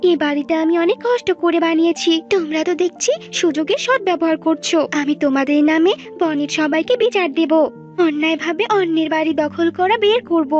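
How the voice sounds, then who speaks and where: A woman's voice: very high voice, moderate pace, dull audio, soft background music, voice pushed up. A woman voicing a small young female bird in a cartoon fable, earnest and trusting, with a plaintive manner. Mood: indignant, hurt. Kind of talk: animated fable character acting.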